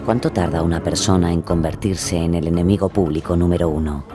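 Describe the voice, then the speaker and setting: A man's voice: deep voice, fairly even intonation, a little fast, over music. A man delivering dramatic scripted narration as a storyteller.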